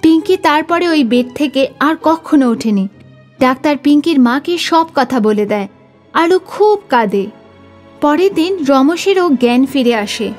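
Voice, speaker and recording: A woman reads scripted story narration. Her voice is high, and faint music runs behind it.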